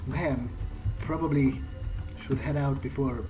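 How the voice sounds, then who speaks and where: A man's voice: medium pitch, fairly even intonation, slow pace. A man improvising in character as he plays a video game, talking hesitantly to himself and swearing freely. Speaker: let's player. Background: game soundtrack music.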